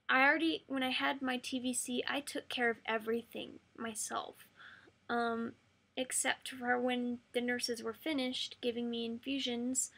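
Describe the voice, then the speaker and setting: A woman losing her voice, speaking softly and haltingly with frequent pauses as she answers a viewer's question. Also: a little high. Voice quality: creaky, hoarse. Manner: soft-spoken, halting with frequent ums. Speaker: patient sharing her experience. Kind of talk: casual video answer to a viewer.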